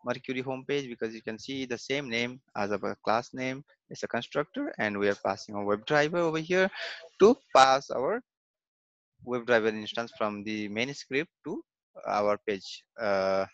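A man walks through a coding lesson over a screen recording, pausing often on fillers and saying "okay" and "fine." His voice sits at a middle pitch. The recording is dry and a little dull. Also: expressive, a bit bright, dry recording. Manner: hesitant, many fillers and repeated "okay, fine". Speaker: software testing instructor.

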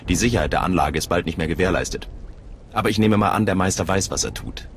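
An actor playing a stern, sceptical gatekeeper of a cult in a video game, measured and authoritative. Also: male voice, low-pitched, slight background noise. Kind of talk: video game voice acting in German. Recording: faint outdoor ambience behind.

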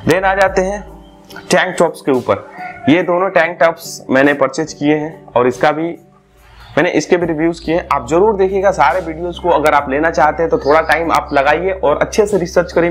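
A young man with a slightly raised voice talks through gym clothes in a relaxed, persuasive vlog, mixing in English words. He is recorded close on a lapel mic, seated in a small indoor room, with faint music under his voice. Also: fairly high pitch. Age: young adult. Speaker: fitness gear reviewer. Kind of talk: casual product review vlog.

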